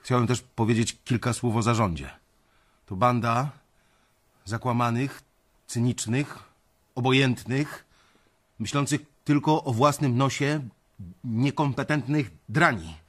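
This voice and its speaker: An actor playing an anxious young banker who narrates his own story in a confiding, measured, dramatic way in an audio drama. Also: mid-range voice, a bit bright. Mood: agitated, defiant. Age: young adult.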